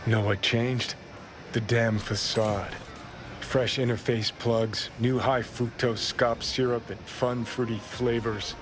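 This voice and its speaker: A man's voice: medium pitch, little pitch movement, slow pace, noisy background, lightly acted. An actor as a sardonic, rebellious rockstar man. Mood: bitter, preaching. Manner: sardonic, drawling. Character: cynical, rebellious dead rockstar, bitter and mocking. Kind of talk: cinematic game acting.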